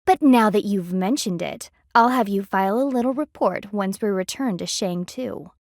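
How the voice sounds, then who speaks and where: A woman's voice: mid-range voice, moderate pace, dry recording, lightly acted. An actress voicing a stern, haughty female panda palace enforcer in a video game, formal, commanding and disdainful. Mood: curt, imperious.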